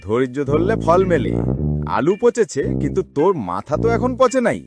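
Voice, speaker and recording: A man's voice: fairly high pitch, wildly swooping pitch, moderate pace. A man voicing a sensible, helpful farmer friend in a cartoon, chiding in a brotherly village way. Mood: approving. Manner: brotherly, admonishing village talk. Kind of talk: animated moral story dialogue. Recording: loud music under the voice.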